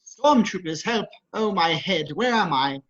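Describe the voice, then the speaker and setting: A man's voice: high voice, animated, measured pace, fairly bright tone. A man playing a fussy, panicky protocol droid in an amateur script read, fretful and prissy. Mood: panicked. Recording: dull lo-fi microphone.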